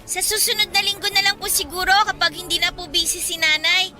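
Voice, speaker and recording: A woman's voice: very high-pitched, little pitch movement, fairly quick, over music. An actress playing a polite, deferential young village girl with a childlike thin voice in a Filipino radio drama. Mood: polite, hesitant.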